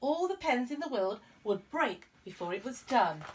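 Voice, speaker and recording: Woman reading as an earnest old male poet, lively pitch. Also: moderate pace, recorded from a distance, slight background noise. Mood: excited. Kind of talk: children's storybook reading.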